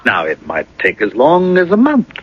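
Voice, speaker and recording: Casting: buoyant lawyer husband, husky and very animated, for a radio play with dull broadcast sound. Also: male voice, on the high side, normal speed, heavily acted. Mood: pleased, affectionate. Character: confident successful lawyer and politician, affectionate husband. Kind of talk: 1980s radio drama acting.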